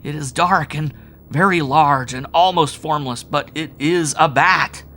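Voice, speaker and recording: A man voicing a terrified young man who sobs and shrieks in panic, with a bright, forceful tone. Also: fairly high pitch, unhurried, voice pushed up. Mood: sobbing. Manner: sobbing, shrieking, feverish.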